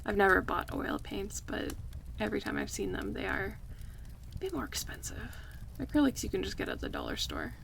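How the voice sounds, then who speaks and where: A woman playing an affectionate, playful close friend in a casual, relaxed ASMR roleplay, chatting and teasing in a conversational way. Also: medium pitch, fairly quick, vocal fry, a little hiss or hum, full character voice.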